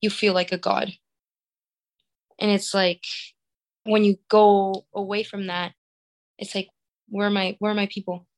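A woman's voice: medium pitch, flat delivery, unhurried, long pauses. A young woman from Venezuela with a Venezuelan accent and Spanish as her first language, talking casually as a singer and filling her speech with "like". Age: young adult. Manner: chatty, filler-heavy with "like". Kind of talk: casual interview.

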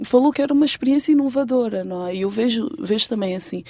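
Young angolan woman journalist, bit of vocal fry, dry close sound, proud and emphatic. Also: a little high, rapid-fire, darkish tone, no room sound. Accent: Angolan Portuguese. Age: young adult. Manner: proud, emphatic, with tag questions. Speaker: journalist, guest. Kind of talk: interview answers.

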